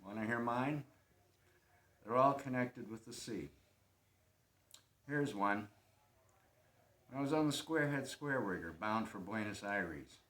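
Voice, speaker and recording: A man's voice: lowish voice, animated, measured pace, pauses often. Man acting a brooding poetic dreamer, echoey room. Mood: wistful, rising excitement. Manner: lyrical, intense, swelling then hushed. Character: brooding, poetic young man, wistful sea-dreamer, melancholy. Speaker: actor performing a monologue. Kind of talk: theatrical dramatic monologue. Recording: echoey room with faint background noise.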